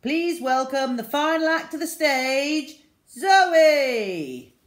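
A woman playing a flustered, concerned storybook headmaster who announces politely and haltingly. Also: sits high, expressive, pitched above the performer's own voice, heavily acted. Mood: flustered announcing. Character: flustered, concerned male school headmaster hosting a talent show.

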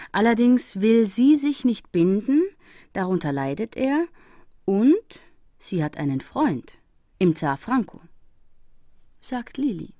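An actress playing a determined female investigator in a crime audio drama, narrating like a diary in a brisk, matter-of-fact way. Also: mid-range voice, warm tone, no echo, studio quality, close to an everyday voice. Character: determined female investigator reporting on her travels.

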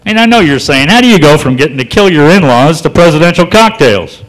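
A man performing a stand-up comedy set in a comedy club, telling stories in a deadpan, folksy drawl that builds to loud punchlines. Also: on the high side, a little fast, poor audio.

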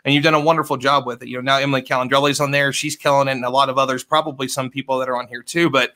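A man's voice: mid-range voice, little pitch movement, very fast, sharp tone. A man moderating an online panel, upbeat and joking as he introduces the next speaker.

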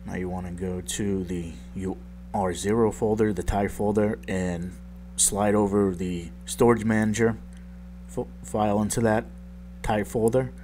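Guy with a real low morning voice rambling thru a tech how-to, ums everywhere, faint hum behind. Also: flat delivery, measured pace, a little mellow. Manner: rambling, self-deprecating, lots of ums. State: just woke up, deep morning voice. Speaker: tech tutorial youtuber. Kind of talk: casual screen-recorded tutorial voice-over.

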